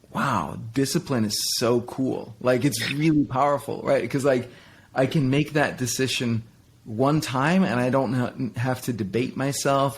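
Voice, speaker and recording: Gravelly, husky-voiced man, candid and halting. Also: medium pitch. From Denmark. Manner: halting, many restarts and fillers, candid. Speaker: interview guest. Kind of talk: reflective personal conversation.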